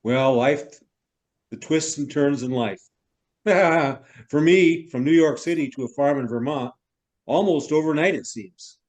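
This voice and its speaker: A man's voice: on the high side, measured pace, a little mellow, lightly acted. A man playing an effusive, wordy middle-aged novelist from New York in a theatrical stage reading. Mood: reflective, enthusiastic. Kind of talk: stage reader's theater acting.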